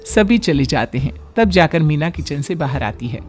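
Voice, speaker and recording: A woman's voice: low voice, animated, normal speed, a little mellow, husky, soft background music. A woman giving scripted storytelling narration for a Hindi family cartoon.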